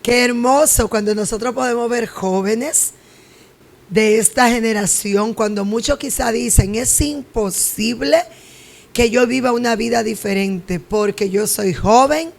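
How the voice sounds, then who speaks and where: Woman pastor, gravelly voice. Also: on the high side, unhurried. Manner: preachy, exhorting. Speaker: pastor and host.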